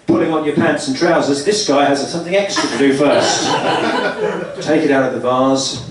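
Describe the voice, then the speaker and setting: A man's voice: on the high side, normal speed. A man in his thirties doing improvised stand-up crowd work, rambling and exasperated, repeating his phrases. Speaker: stand-up comedian. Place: small indoor comedy club stage. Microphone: handheld stage mic, recorded from room. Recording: echoey comedy club, distant camera, loud crowd noise. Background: audience laughter, noise.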